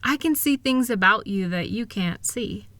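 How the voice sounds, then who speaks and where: A woman playing a loving, reassuring wife in an intimate comfort roleplay, speaking in a gentle, soothing, affectionate way. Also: mid-range voice, animated, normal speed, no room sound, close to an everyday voice. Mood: tender, reassuring. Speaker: audio roleplay voice performer.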